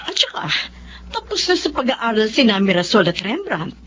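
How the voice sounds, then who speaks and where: A woman's voice: fairly high pitch, big pitch swings, normal speed. A middle-aged Filipino aunt in a radio drama, affectionate and teasing. Her delivery swings widely with feeling. The sound is dull, with faint noise. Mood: fond. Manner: emotive, teasing.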